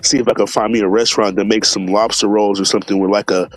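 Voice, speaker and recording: A man's voice: fairly low pitch, level tone, fast, no room sound, soft background music. Laid-back, slangy man on a live stream. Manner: laid-back, slangy, many fillers, greets chat viewers by name. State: stuffed up from allergies. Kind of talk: casual live stream chat.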